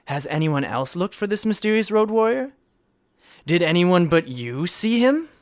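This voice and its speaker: A man acting as an earnest hotshot prosecutor in a courtroom comedy. He questions formally with exasperation, his pitch moving widely, recorded dry with no room sound. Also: big pitch swings, moderate pace, dry recording. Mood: incredulous.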